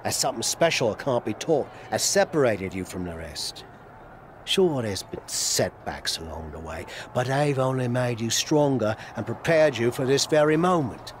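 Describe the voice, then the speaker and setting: A man's voice: medium pitch, lively intonation, normal speed, slight background noise, lightly acted. A man playing a veteran wrestler turned mentor in a game cutscene, rambling and self-deprecating yet encouraging. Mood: earnest, rousing. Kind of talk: video game cutscene acting.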